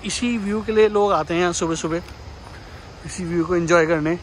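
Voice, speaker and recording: A man's voice: high-pitched, brisk. A young man from India narrating a casual travel vlog to his viewers, chatty and enthusiastic, recorded on a phone in a car and outdoors. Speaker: travel vlogger. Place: in a car, then outdoors by a river. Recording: outdoor phone audio with loud background noise.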